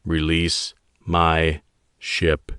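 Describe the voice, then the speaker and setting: A man voicing a stern, commanding navy admiral who speaks in slow, icy, clipped orders. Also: low-pitched, flat delivery, a bit bright, smooth, no room sound, studio quality. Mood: threatening. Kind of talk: audiobook character voice.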